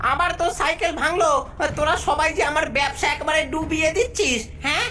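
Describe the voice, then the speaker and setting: Here is a greedy male ghost boss from a cartoon, played by a woman, pitched high over background music. He bullies and schemes like a moneylender, scolding his underlings and gloating over them. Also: fast talker, bright, forceful tone. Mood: scolding, bossy. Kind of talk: cartoon voice acting.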